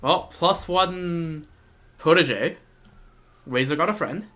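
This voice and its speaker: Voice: medium pitch, slow, fairly bright tone. A game streamer chatting casually, thinking aloud in short reactions over game audio. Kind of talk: casual livestream commentary.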